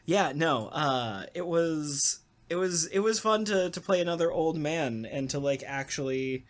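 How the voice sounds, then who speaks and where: A man, a voice actor, chatting casually and jokingly in a halting, rambling way. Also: a little high, lively intonation. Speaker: voice actor on a podcast talkback. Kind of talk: casual chat.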